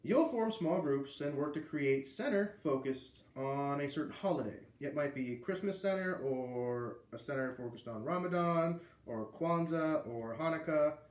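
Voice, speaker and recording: A man's voice: fairly high pitch, expressive, a little slow, hardly any pauses, darkish tone, roomy sound. A man with an American accent reading a children's book aloud, with casual asides. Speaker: read-aloud reader.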